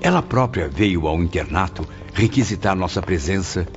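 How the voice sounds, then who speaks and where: Solemn male spirit memoirist played by an actor, deep voice, literary narration, slightly muffled, light music. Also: lively intonation, moderate pace. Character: humble, reverent male spirit recounting his memories. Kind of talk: scripted first-person dramatized narration.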